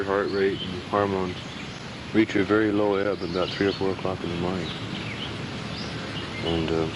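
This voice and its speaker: A man explaining a subject informally and haltingly, recorded outdoors on 1970s reel-to-reel video. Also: deep voice, noisy background. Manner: halting, with frequent throat clearing. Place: outdoors beside a pond.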